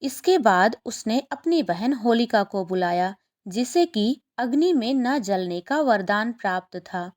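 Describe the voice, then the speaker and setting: A woman reads a scripted story for children. Her voice sits a little high and she takes her time, telling it at a distinctly slow pace. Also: animated. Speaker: storyteller narrator.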